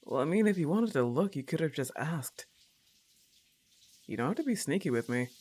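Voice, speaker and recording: A woman's voice: low voice, expressive, normal speed, warm tone. A woman playing a laid-back, teasing, slightly annoyed young goth roommate in a roleplay audio, with a sarcastic, casual drawl. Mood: amused, teasing. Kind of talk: acted roleplay ASMR monologue.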